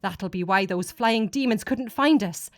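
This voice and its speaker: A woman's voice: mid-range voice, unhurried, dry recording, clean studio sound, close to an everyday voice. A woman voicing a frightened time traveller in an audiobook, nervous and pleading. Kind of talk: dramatic audiobook character voice.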